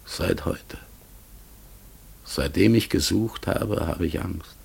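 A man playing a melancholy outcast talking raven in a radio play, solemn, gravelly and husky, sometimes sinking into whispers. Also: low-pitched, heavily acted. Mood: sad, wistful. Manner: slow, solemn, mournful.

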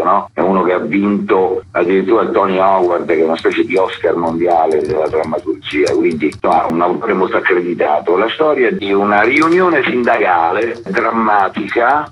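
A man speaking as a theatre director in a radio interview, explaining his work, with a husky voice and dull sound. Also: low-pitched, slight background noise.

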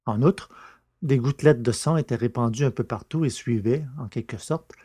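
A man's voice: mid-range voice, normal speed, warm tone, husky, dry recording, studio quality. A man reading scripted literary narration as the narrator of an audiobook.

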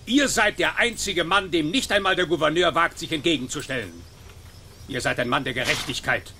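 Haughty male governor for radio drama: theatrical, offended, slightly high, forceful. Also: a little fast, slight background noise. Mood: indignant. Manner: pompous, theatrical. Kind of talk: German radio drama acting.

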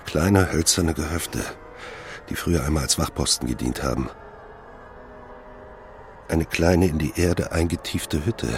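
Radio play: lone male wanderer, bass voice, sombre and measured, scored with music. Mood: wary, sombre. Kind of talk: German radio play narration. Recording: music under the voice.

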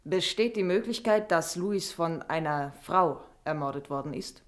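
An actress in a radio drama playing a frank, sharp-tongued working woman with a dry, teasing manner. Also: on the low side, lively intonation, measured pace. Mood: candid, curious. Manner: blunt, dryly teasing.

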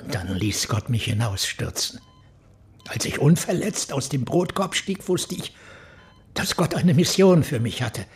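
An actor playing a fanatical preacher who calmly confesses to murder in a radio crime drama, with a slow, hushed, zealous delivery. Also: male voice, medium pitch. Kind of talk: radio play acting.

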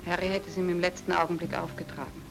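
An actress playing a grieving, resigned young stage actress in an old German radio drama, understated, guarded and fatalistic. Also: female voice, lowish voice, fairly even intonation, quick pace, vocal fry, poor audio. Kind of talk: 1950s-60s German radio drama acting. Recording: old radio play with music behind.

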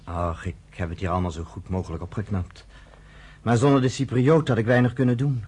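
A man's voice: on the low side, steady tone, fairly quick, darkish tone, a little hiss or hum, lightly acted. An actor playing an earnest young resistance man. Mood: warm. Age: 20s. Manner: earnest, matter-of-fact reporting. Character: young camp resistance organizer, man of twenty-two, earnest. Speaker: actor in a radio play.

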